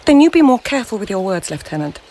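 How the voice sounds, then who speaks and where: A woman's voice: mid-range voice, big pitch swings, fast, mellow, a little hiss or hum, natural voice. A woman playing a serious, firm noblewoman investigator of the Japanese imperial court in a radio drama. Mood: stern. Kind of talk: radio drama acting and narration.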